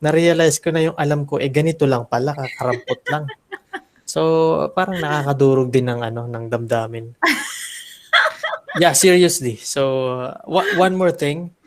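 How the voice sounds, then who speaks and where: A man with a Filipino accent, a teacher and graduate student, speaking haltingly and self-deprecatingly in a class discussion over a video call. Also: a little high. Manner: halting, self-deprecating, mixes Tagalog and English.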